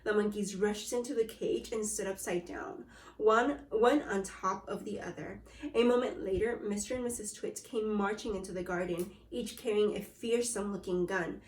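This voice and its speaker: A woman teacher reading a storybook aloud to young children, with animated call-and-response prompts. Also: mid-range voice, moderate pace, roomy sound, low-quality recording. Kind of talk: read-aloud lesson for children.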